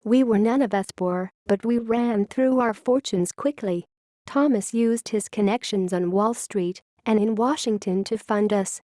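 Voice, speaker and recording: A woman playing a wistful, grave founding scientist who confesses a secret history in a measured, literary audio-log monologue. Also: medium pitch, mellow, no echo, studio quality, close to an everyday voice. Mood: reflective, grave.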